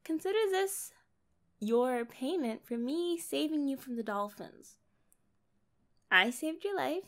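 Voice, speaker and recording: High-pitched, bubbly young mermaid played by a woman. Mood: coy, insistent. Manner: playful, chatty, expressive, drawn-out phrasing. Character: kind, bubbly, naive young female mermaid. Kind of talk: ASMR roleplay addressed to the listener.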